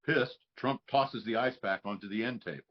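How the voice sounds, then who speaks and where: Male narrator for a table read: lower-pitched, rough husky tone, reading scene descriptions, slightly muffled lo-fi sound. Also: normal speed. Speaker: stage directions narrator.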